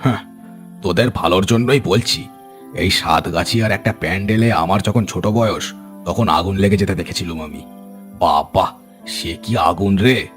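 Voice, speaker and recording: A man's voice: deep voice, normal speed, faint music behind, close to an everyday voice. An actor playing a talkative, well-meaning older village man who admonishes and exclaims, in an audio story. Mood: admonishing.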